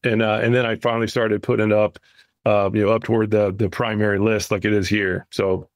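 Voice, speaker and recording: A man's voice: fairly low pitch, level tone, a little fast, no room sound. A man co-hosting a podcast, talking casually and anecdotally, often repeating words as he explains. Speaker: podcast co-host.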